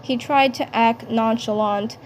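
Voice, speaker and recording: Casting: female amateur storyteller, higher voice, very slow halting read with repeated phrases, slightly noisy home recording. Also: fairly even intonation. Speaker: fan fiction narrator and voice performer.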